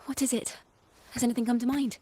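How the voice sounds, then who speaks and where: A woman playing a thoughtful, earnest young traveling companion in a video game, speaking in a lyrical, measured way. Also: a little high, level tone, mellow, a little hiss or hum, lightly acted. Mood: wistful, admiring. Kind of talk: scripted video game dialogue.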